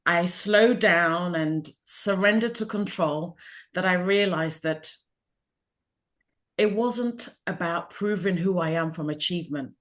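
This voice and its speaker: Middle-aged woman from Ghana, low-ish voice, measured and reflective interview guest. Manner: calm, measured, thoughtful. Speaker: interviewee, life coach.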